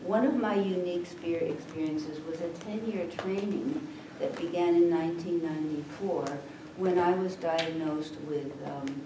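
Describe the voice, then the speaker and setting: A soft-spoken woman with a low voice speaks reflectively as a death doula on a panel. She is far from the microphone in a reverberant room. Heavy background noise nearly covers her quiet voice. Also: moderate pace, hardly any pauses. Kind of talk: personal talk to an audience. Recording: echoey room, far from the mic, loud noise.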